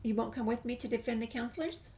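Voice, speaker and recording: A woman with a creaky voice, reading a game's documents and dialogue aloud as a gameplay streamer. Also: on the high side, little pitch movement, fast talker, dark tone. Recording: faint background noise, game ambience.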